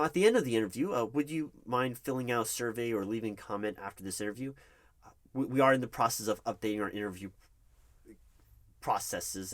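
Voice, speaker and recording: A man's voice: fairly low pitch, close to an everyday voice. A man playing a nervous, polite corporate hiring manager in an ASMR roleplay, speaking formally with some hesitation. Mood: flustered, accommodating. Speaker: roleplay performer. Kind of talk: one-sided ASMR roleplay of a job interview.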